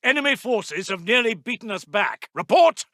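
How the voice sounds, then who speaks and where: A British military intelligence officer from a war game, high-voiced and very animated. He reports in clipped, formal, urgent phrases with a forceful edge and a British accent, loyal to his commander. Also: normal speed, no echo, clean studio sound. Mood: shouted urgency. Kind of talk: scripted video game unit announcements.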